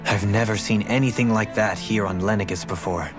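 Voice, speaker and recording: An actor playing a refined, melancholy young lord in a video game, speaking in formal, eloquent, measured phrases. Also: male voice, fairly low pitch, fairly even intonation, music in the background, natural voice. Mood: grave, thoughtful. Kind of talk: dramatic video game voice acting.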